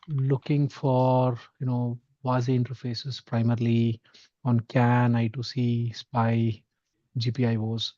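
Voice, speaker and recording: A man's voice: mid-range voice, mellow, no room sound. A man introducing himself on an online working-group call, speaking hesitantly with many fillers. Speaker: engineer joining a working group. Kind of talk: casual meeting talk. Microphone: video call. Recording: dull lo-fi call audio.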